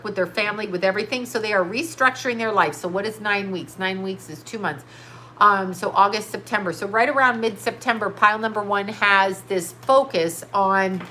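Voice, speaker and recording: Stuffy middle-aged lady reading tarot on a live stream, bright voice, faint noise behind her. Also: medium pitch. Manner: blunt, swears, laughs often, scattered. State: stuffy nose. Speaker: tarot reader answering live chat. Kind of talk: casual live-stream chat and card reading.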